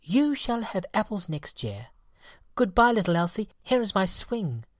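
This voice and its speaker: A small lazy storybook fairy, played by a woman, stubborn and petulant. The voice is lifted well up and moves about in a lively, dry recording. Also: mid-range voice, a little slow, no room sound, voice pushed up. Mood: eager, resolved. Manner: petulant, calling out.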